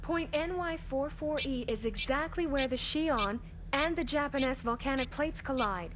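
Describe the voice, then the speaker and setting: Woman as a high-voiced, precise female ship officer. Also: moderate pace, background noise, lightly acted. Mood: analytical. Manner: precise, businesslike. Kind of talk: anime dub acting.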